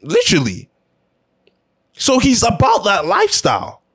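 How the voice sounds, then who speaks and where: A man's voice: high-pitched, wildly swooping pitch, measured pace, a bit bright, breathy edge. A man hosting an opinion show, talking casually and emphatically in a conversational way, repeating phrases to drive his points home.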